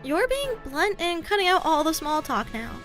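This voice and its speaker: A woman's voice: extremely high pitch, big pitch swings, normal speed, fairly bright tone, faint music behind, exaggerated voice. A woman voicing a polite, frank, shy middle-school girl in a manga dub, speaking earnestly and hesitantly. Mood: deadpan teasing. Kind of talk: anime-style manga dub dialogue.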